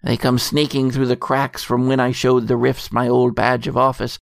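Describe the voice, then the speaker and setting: A man playing a weary, secretive and resigned keeper of lore, delivering a measured, portentous dramatic monologue. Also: medium pitch, completely flat delivery, vocal fry, no room sound, clean studio sound, lightly acted. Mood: rueful. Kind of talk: scripted dramatic narration.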